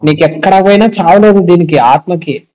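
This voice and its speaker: Male, fairly high and very mellow, casual explanatory phone talk with rhetorical questions. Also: normal speed, low-quality recording. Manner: explanatory, asks rhetorical check-back questions. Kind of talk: casual phone discussion of faith. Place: recorded phone call. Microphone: telephone.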